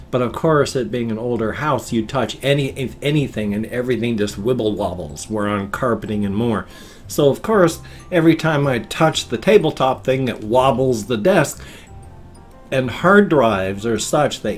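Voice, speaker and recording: An older man talks in a folksy, meandering way, his voice nasal and congested with a faint wheeze. He chats casually at a home desk mic, and quiet guitar music plays softly behind him. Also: mid-range voice. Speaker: vlog host. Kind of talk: rambling casual morning vlog. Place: small home room at a desk.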